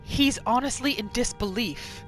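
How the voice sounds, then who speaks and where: A woman hosting a gaming roleplay series, giving expressive playthrough commentary and telling her character's story. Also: a little high, a little slow, faint music behind. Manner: expressive, exclamatory reactions.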